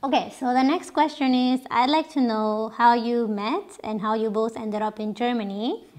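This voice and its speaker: Young woman, chatty host, a touch high-pitched, camera a few feet off. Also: normal speed, a little mellow. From Mexico, raised partly in Canada. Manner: chatty, laughs often, many restarts. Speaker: channel host. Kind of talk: casual Q&A chat. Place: living room sofa, indoors. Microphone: camera a few feet away.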